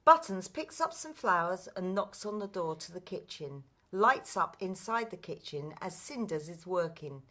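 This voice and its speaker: A woman's voice: on the low side, expressive, moderate pace, hardly any pauses, a bit bright. A woman narrating scripted stage directions for a play.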